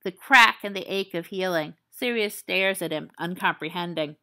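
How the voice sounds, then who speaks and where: A woman with a fairly low voice reads a story aloud, calm and measured. Her delivery is soft and steady, in the manner of an audiobook. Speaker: podfic reader. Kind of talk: audiobook reading of fan fiction.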